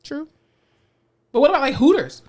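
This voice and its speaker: A woman's voice: high-pitched, very animated, normal speed, darkish tone. A woman co-hosting a podcast, talking in a loose, casual way. She is blunt and teasing and likes to play devil's advocate in an argument. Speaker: podcast co-host. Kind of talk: casual chat.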